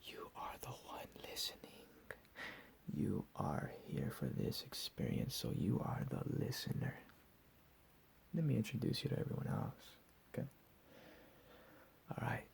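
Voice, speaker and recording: A man whispering as a gravelly deranged captor. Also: on the low side, huge pitch swings, a little mellow, heavily acted. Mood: menacing. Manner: whispered, profane, menacing, drawn-out slow emphasis. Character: deranged menacing male captor, recently escaped asylum. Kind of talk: whispered ASMR roleplay.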